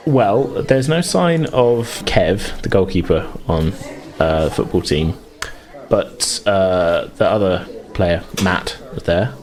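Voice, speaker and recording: A man's voice: low voice, lively intonation, slow, a little mellow. A British man running a tabletop game, improvising his narration with hesitant, hedging phrasing. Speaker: game master.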